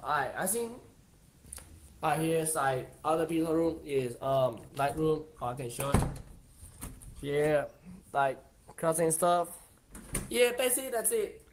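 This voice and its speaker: A young man giving a casual house tour on a livestream from his phone, in halting, broken phrases that often repeat words. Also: on the high side, animated, a little hiss or hum. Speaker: streamer. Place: house rooms, walking with phone.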